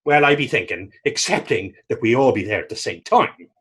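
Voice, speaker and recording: A man playing a battered, pious and self-mocking fisherman in readers theater, telling his story in halting, folksy dialect. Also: medium pitch, animated, a bit bright, husky. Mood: bemused, animated. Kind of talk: readers theater dramatic dialogue.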